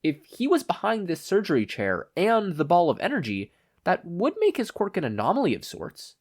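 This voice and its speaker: A man's voice: sits high, huge pitch swings, moderate pace, voice pushed up, heavily acted. A man voicing a prim, exasperated and precise male official in a story. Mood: pondering. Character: fussy, high-voiced male official, exasperated and analytical.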